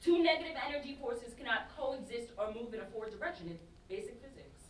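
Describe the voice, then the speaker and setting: A woman's voice: on the high side, lively intonation, quick pace, close to an everyday voice. An actress in a staged play reading, playing an exasperated, teasing young woman who talks in emphatic slang. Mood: earnest reasoning. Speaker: student actor in a staged reading. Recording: roomy live stage, distant mic, background noise.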